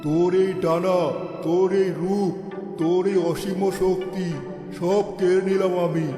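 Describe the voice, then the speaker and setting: A man's voice: high-pitched, level tone, unhurried, dark tone. A man voicing a cruel, gloating cartoon wizard who taunts theatrically as he lays curses. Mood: menacing. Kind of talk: cartoon fairy-tale villain acting. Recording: echoey hall reverb, music behind.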